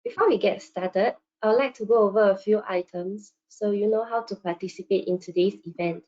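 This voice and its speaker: Female webinar host, dark relaxed timbre, formal scripted read, lo-fi band-limited sound. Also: medium pitch, a little fast.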